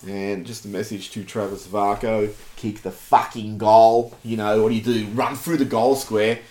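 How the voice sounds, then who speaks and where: A man's voice: fairly low pitch, flat delivery, normal speed, darkish tone, echoey room. A man with an Australian accent co-hosting a casual sports podcast, blunt and rambling, with some swearing. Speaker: podcast co-host.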